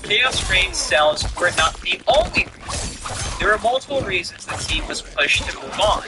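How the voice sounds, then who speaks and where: A man giving casual video game news commentary as a YouTuber, informal and slangy, speaking straight to his viewers. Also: high voice.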